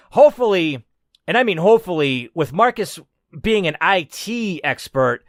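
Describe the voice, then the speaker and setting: A man hosting a gaming video, commenting excitedly on a story game and swearing casually. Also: a little high, dry recording, clean studio sound. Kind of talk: let's play commentary.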